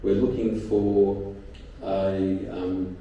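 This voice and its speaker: A man giving a short pitch slowly and deliberately, halting now and then with fillers. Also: flat delivery, dull audio. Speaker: startup founder pitching. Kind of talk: startup pitch. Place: event venue. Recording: echoey room, from far off, faint noise.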